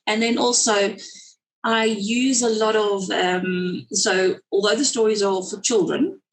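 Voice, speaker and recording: A woman's voice: medium pitch, fairly even intonation, measured pace, rough recording. A woman with a South African accent, talking casually as a guest on a video call webinar, rambling with lots of fillers and restarts. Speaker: guest speaker, children's story writer.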